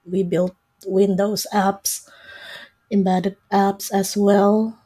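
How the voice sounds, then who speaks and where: A woman's voice: medium pitch, flat delivery, drawn-out words, warm tone, husky. A woman in her forties with an Indonesian accent, explaining things casually as an interview guest. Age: 40s. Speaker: interview guest, software developer.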